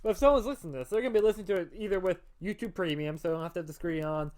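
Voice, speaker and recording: A co-host on a casual podcast, slipping in wry, deadpan jokes. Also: medium pitch, rapid-fire.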